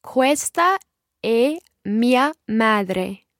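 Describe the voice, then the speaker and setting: A woman learning a language, answering prompts and repeating phrases very slowly and deliberately. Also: fairly high pitch, expressive, no room sound, clean studio sound.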